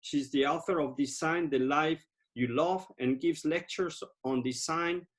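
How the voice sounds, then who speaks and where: Eager podcast host with a higher voice. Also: male voice, steady tone, a little slow. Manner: enthusiastic, repeats words for emphasis. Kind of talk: podcast interview hosting.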